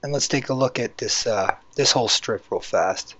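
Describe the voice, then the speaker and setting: A man with a hoarse voice hosting a casual, rambling video walkthrough, talking conversationally as he explains what is on screen. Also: mid-range voice, normal speed, fairly bright tone, no room sound. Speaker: YouTube channel host. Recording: dull, lo-fi microphone sound.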